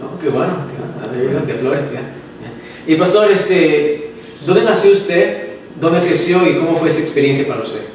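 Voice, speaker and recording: A young adult man interviewing a pastor, upbeat, with quick reactions and English words slipped in. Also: on the high side, expressive, darkish tone, a little hiss or hum. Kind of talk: casual interview questions. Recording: echoey room, far from the mic.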